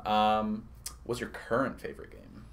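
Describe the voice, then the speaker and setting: A young man with a fairly low voice runs the interview, firing off questions and quick joking asides. Faint background noise hums under the stream. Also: completely flat delivery. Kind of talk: casual livestream Q&A.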